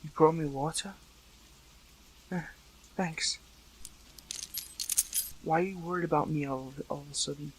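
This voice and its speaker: A young man playing a captured hero in an audio roleplay, earnest, conflicted and lovelorn, with stammering, pleading, breathy delivery. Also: a little high, fairly even intonation, a little slow, long pauses, noisy background, lightly acted. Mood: weak, wary. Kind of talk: dramatic audio roleplay acting.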